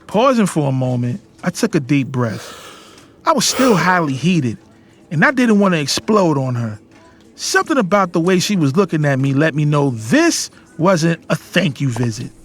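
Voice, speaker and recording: A man's voice: on the high side, big pitch swings, normal speed. A man acting as a defiant, protective young adult son of a boss in an audio drama. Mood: reflective, proud. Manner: assertive, emphatic. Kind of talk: dramatized first-person audio drama narration and dialogue.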